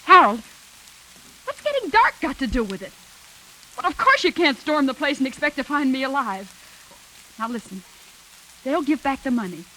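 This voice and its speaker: A woman's voice: sits high, big pitch swings, moderate pace, pauses often, bright, forceful tone, exaggerated voice, low-quality recording. Guarded 1940s noir hostage woman. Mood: anxious, pleading. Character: guarded, brave female hostage with a soft spot. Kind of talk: 1940s radio noir acting. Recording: hissy 1940s radio recording, rain behind.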